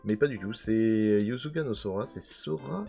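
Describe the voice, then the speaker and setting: A man with a hoarse voice casually commenting as he unboxes trading cards, often trailing off while he tries to recall names. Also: lowish voice, normal speed, warm tone, soft background music. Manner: musing, trails off hunting for names. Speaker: card unboxing video host.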